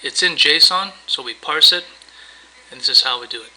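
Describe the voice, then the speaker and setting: Bright, forceful man narrating a software demo. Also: fairly high pitch, normal speed, poor audio. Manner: casual, explanatory, step by step. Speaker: software tutorial presenter. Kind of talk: screencast demo narration, semi-improvised walkthrough.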